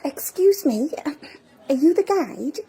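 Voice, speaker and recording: A woman playing an elderly visitor in an audio drama, polite, hesitant and curious, with a breathy, husky voice. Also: high voice, lively intonation, slow, dark tone, full character voice. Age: older.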